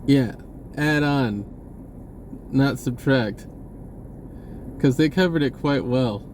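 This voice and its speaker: A man talking casually and off the cuff to viewers, halting and often repeating his words. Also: medium pitch, darkish tone, a little hiss or hum. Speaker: spiritual video blogger. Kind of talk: casual unscripted monologue to viewers.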